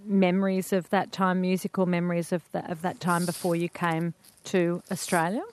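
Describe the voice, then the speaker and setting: A woman's voice: lowish voice, little pitch movement, moderate pace, a little hiss or hum. A woman interviewing a guest, enthusiastic and exclamatory, sometimes tripping over her words. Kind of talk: conversational interview.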